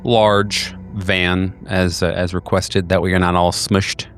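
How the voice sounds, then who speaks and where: A man in a low voice runs a tabletop horror game, describing scenes loosely with many ums. Faint music plays underneath. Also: little pitch movement, slow, fairly bright tone. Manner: rambling, filler-heavy, with many ums and uhs. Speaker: game master.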